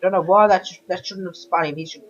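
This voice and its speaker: Croaky man commentating a racing game. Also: high voice, fairly even intonation, fast. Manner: rambling, keeps correcting himself. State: croaky voice from a sore throat. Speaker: gameplay commentator. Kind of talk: casual commentary over racing game footage. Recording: dull lo-fi voice-over with game music behind.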